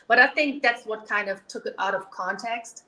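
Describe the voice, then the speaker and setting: Young woman from Germany, interview guest, earnest and wordy, bright tone, roomy sound. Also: a little high, normal speed. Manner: earnest, explains at length with many "you know"s. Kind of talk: candid interview talk. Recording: echoey room.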